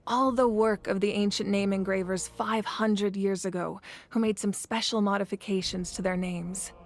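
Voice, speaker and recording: A woman voicing a confident, calm young female leader in an anime fantasy game, composed and assured, with a wry warmth. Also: medium pitch, normal speed, lightly acted. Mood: reflective.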